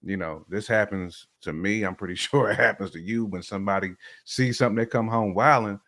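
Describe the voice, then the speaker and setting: A man's voice: fairly low pitch, fairly quick, fairly bright tone. A man with a Southern American accent, co-hosting a casual chat and explaining his views conversationally. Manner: conversational, explains with "you know what I'm saying". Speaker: co-host.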